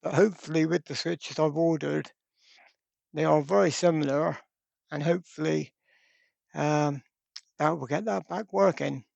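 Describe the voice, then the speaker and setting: A man's voice: fairly high pitch, fairly even intonation, unhurried, vocal fry, long pauses, a little mellow, dry recording. A man giving a casual, rambling hands-on explanation as a video host, often hesitant as he goes. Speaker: host of a radio repair channel. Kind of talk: casual hands-on product talk.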